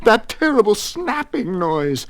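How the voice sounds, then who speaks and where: A man's voice: big pitch swings, takes it slowly, a bit bright, exaggerated voice. A man voicing a tiny, timid, panicky male storybook character with shrieking, breathless exclamations. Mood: shrieking alarm. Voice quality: shrill, shrieking.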